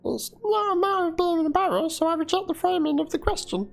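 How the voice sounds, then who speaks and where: Woman cast as dismissive male streamer in a dramatic chat read. Extremely high voice, faint music behind. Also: animated, fast talker, gravelly, heavily acted. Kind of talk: dramatic reading of chat messages.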